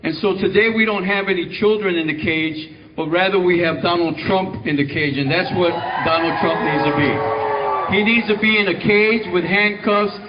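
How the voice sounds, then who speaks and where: A protest organizer addresses an outdoor rally in a plain way, pausing often on "uh". His fairly high voice is picked up from a distance, and the live-stream audio is dull. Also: heavy background noise. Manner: plain-spoken, frequent "uh" fillers. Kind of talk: rally speech.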